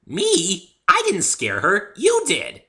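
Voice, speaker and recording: A man voicing a bubbly, innocent, excitable young female cartoon pony in an amateur story reading. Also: sits high, takes it slowly, pitched above the performer's own voice, exaggerated voice. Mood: loud, innocent. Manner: cheerful, exclamatory, tactless.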